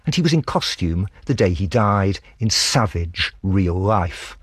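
A man with a very low, husky voice narrates a scripted dispatch as a foreign correspondent. His delivery is measured and literary, like polished radio storytelling. The sound is close and dry. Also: animated, dry recording.

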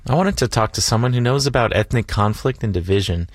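A man's voice: mid-range voice, quick pace, no echo. A man narrating a travel documentary in a dry, wry, reflective way. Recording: voice-over with music behind.

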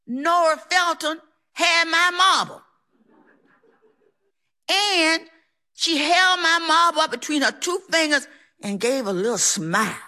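A woman performs a personal story in a high, bright voice. Her delivery is folksy and emphatic, with a theatrical feel. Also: lively intonation, takes it slowly, long pauses. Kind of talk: live personal storytelling on stage.

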